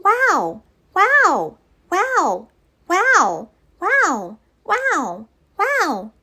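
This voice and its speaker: A woman's voice: extremely high pitch, huge pitch swings, drawn-out words, bright, forceful tone, pitched above the performer's own voice, full character voice. A woman voicing an eager, sweet little girl monster in a picture book, childlike and full of exclamation. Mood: amazed.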